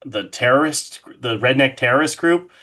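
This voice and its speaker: A man with a mid-pitched voice talks as a guest in a casual interview. He sounds enthusiastic and conversational, and he swears freely as he shares his opinions. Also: moderate pace, bright, forceful tone. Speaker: podcast guest, horror artist. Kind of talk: casual interview chat about horror films.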